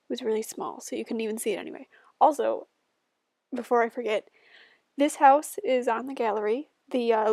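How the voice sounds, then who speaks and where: A woman's voice: on the high side, gravelly, a little mellow, no room sound. A young woman in her twenties, a bit stuffy-nosed, chatting casually and giggling as she talks over her building video at home. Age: 20s. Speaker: YouTuber.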